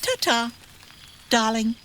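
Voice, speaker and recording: Haughty female game cat: unhurried, theatrical, very lively pitch, doting yet condescending. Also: a little hiss or hum, full character voice. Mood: arch, teasing. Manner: arch, condescending, drawn-out theatrical politeness. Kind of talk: video game voice acting.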